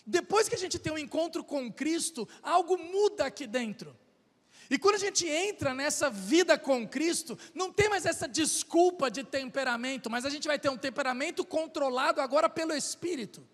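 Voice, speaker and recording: A man's voice: very high-pitched, animated, normal speed, a bit bright. A middle-aged Brazilian man preaching a sermon, chatting casually and then building to emphatic, repeated calls. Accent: Brazilian Portuguese. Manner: conversational, building to emphatic repetition. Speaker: pastor. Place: church sanctuary. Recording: long hall reverb.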